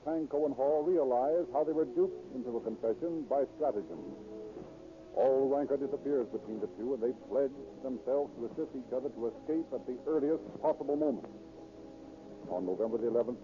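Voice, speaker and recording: An actor as a grave narrator giving formal, scripted narration for a 1930s radio police drama. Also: male voice, medium pitch, lightly acted. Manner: formal, declamatory. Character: grave male true-crime radio narrator. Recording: muffled 1930s radio recording.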